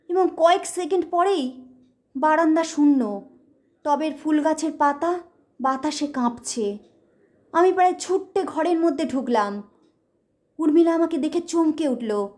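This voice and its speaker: A woman narrating a scripted ghost story in a measured, deliberate, dramatic way. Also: high-pitched.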